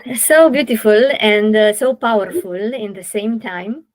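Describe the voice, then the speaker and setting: A woman from Romania with a Romanian accent, hosting a podcast interview and talking slowly and thoughtfully, with many "uh" fillers. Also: mid-range voice. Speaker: podcast host. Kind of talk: casual interview chat.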